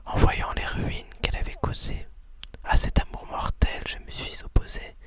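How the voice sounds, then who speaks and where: A woman whispering softly and breathily in an unhurried, hesitant ASMR style, as host of talk and poetry readings.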